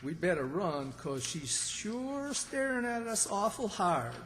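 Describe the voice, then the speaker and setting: A naive country bumpkin played by a man in old-time radio comedy style. He drawls slowly and folksily, excitable and swooping in pitch, with long hall reverb. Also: exaggerated voice. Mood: curious.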